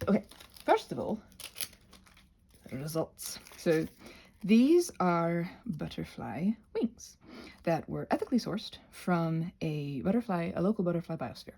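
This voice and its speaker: Whimsical rambling woman with very animated pitch. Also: takes it slowly, pauses often, slightly rough. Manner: whimsical, theatrical, self-interrupting, talks to objects. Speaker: craft video creator demonstrating butterfly wing prep. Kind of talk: rambling casual craft tutorial with asides to self. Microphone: phone recording.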